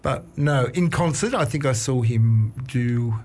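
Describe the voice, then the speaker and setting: A male radio host in the studio, talking in a relaxed and chatty way. His voice sits at a middle pitch, and he stumbles over his words now and then with plenty of "um"s and restarts. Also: a little slow, slight background noise. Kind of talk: casual radio chat.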